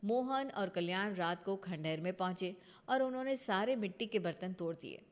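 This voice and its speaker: A woman's voice: mid-range voice, lively intonation, normal speed. A woman narrating a scripted bedtime moral story as its storyteller.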